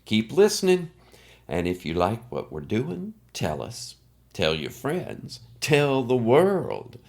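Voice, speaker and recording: A man's voice: medium pitch, wildly swooping pitch, takes it slowly. A man hosting a podcast with scripted narration. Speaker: podcast host.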